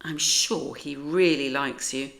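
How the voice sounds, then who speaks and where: Storybook mum cat read by a woman, British, brisk, chivvying, reassuring, unhurried delivery. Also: rumbling low, steady tone, gravelly, fairly bright tone, heavily acted. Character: brisk, cheerful mother cat, kindly but firm.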